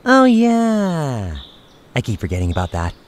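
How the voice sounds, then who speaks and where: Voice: mid-range voice, huge pitch swings, unhurried. An actor playing a glum adventurer who complains wryly in an audio drama. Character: glum, grumbling, wry fantasy adventurer.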